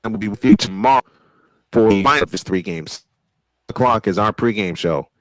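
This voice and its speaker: A man's voice: lowish voice, brisk, no echo. A man announcing a baseball game live, mixing old-school play-by-play with casual asides. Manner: old-school sportscaster delivery.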